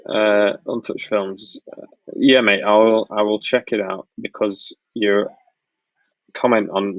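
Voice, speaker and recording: A man from Lancashire in northwest England with a mild northern accent, hosting a casual live Q&A and answering viewers' questions in a relaxed, rambling way. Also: on the low side, vocal fry, no echo, muffled recording. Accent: Northern English (Lancashire). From Blackpool, Lancashire, northwest England. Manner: relaxed, rambling, frequent ums and restarts. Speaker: wedding filmmaker hosting a live Q&A. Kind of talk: casual live-stream Q&A, reading out chat questions.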